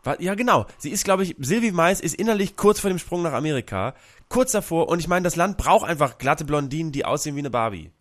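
A man co-hosts a radio talk show, his voice a little high for a man. He tells stories with a sarcastic, joking edge. His talk stays casual and quick-witted. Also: expressive. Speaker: radio co-host.

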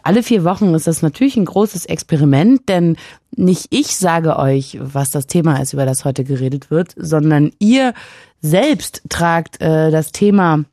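A woman's voice: low-pitched, a little slow, dark tone, dry recording, clean studio sound. A woman hosting a call-in radio show, chatting playfully with lots of fillers and hesitations. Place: radio studio. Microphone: studio microphone.

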